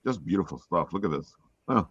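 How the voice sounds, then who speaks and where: A man's voice: low voice, expressive, a little fast. A man hosting a podcast in casual livestream chat, talking over an online call. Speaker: podcast host. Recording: dull, dry online call audio.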